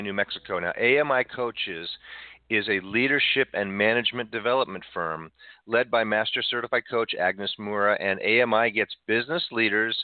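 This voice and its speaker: A male radio host with a fairly low voice introduces his guest at a measured pace. The sound is dry and narrow, like a phone line. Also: no echo. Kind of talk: radio interview hosting.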